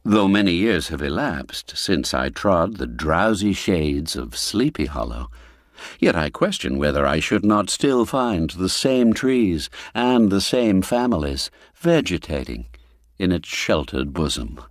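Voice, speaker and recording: Slow, low-voiced male storyteller with lively intonation. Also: no room sound, clean studio sound. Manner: measured, formal storytelling delivery. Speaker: narrator. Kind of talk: scripted literary narration of a classic folk tale.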